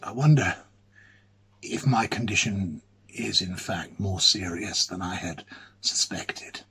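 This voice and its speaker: A man playing an ailing, lonely, fussy bachelor academic in a dramatic ghost-story monologue, musing hesitantly and theatrically in a husky voice. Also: low-pitched, takes it slowly, sharp tone, exaggerated voice. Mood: worried. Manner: hesitant, musing, theatrical, with murmured asides and swelling emphasis.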